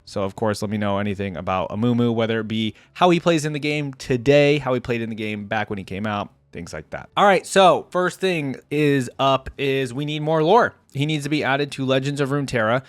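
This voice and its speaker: Male reaction-channel host, average pitch, casual and rambling, thinking aloud on a dry close mic. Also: brisk, hardly any pauses, clear, resonant tone, no echo. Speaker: YouTube host reacting to game characters.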